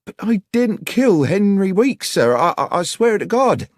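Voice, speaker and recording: A man voicing an anxious, deferential young country woman with rustic, halting speech, in an audiobook. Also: mid-range voice, a little mellow, no echo, studio quality, pitched above the performer's own voice, close to an everyday voice. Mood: anxious, earnest. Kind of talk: audiobook character voice.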